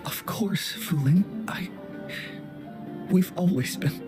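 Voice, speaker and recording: An actor playing an excitable, emotional male adventurer in a video game. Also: high-pitched, takes it slowly, music in the background. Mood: tender, halting. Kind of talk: dramatic game voice acting.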